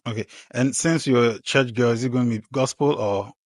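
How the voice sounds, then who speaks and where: A man hosting a casual podcast, relaxed, trailing off quietly and filling with okays and ums. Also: mid-range voice, fairly quick, no echo, studio quality. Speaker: podcast host.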